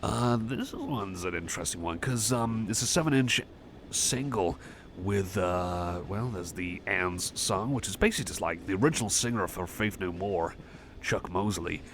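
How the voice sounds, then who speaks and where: A shy cyborg chum, played by a man, speaks in a very low voice full of gravelly fry. He rambles softly and hesitantly in a friendly, self-effacing ASMR roleplay style. Clear background noise sits behind the voice. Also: expressive, moderate pace. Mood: bashful, rambling. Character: shy, friendly young male cyborg music fan. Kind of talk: soft casual ASMR roleplay chat.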